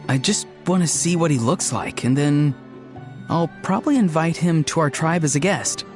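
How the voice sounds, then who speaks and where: A man's voice: fairly high pitch, moderate pace, soft background music, lightly acted. Man playing an earnest, lighter-voiced young hunter. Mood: earnest, eager. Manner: matter-of-fact, sincere, literal. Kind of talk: video game voice acting.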